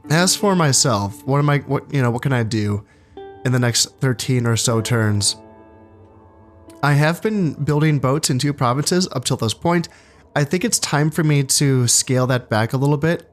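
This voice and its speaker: A man hosting a strategy game playthrough, talking casually and thinking aloud, congested from allergies and a bit low on energy. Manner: relaxed, thinking aloud with fillers and restarts. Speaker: gaming channel host.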